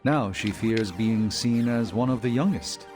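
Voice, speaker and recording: A man playing a grave, observant warrior ally in a video game, speaking formally and deliberately. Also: on the low side, darkish tone, natural voice. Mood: serious. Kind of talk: video game cutscene dialogue. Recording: music behind the voice.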